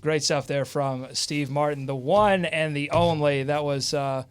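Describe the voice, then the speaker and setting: Clear, higher-pitched American male sports host. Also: fairly even intonation, unhurried. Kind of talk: live sports interview hosting.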